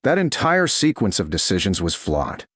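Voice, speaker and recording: A man's voice: low voice, wildly swooping pitch, normal speed, fairly bright tone, no echo, full character voice. A man voicing a stern, commanding duke in an audiobook romance, wounded but controlled, speaking in clipped and certain phrases. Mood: reproachful.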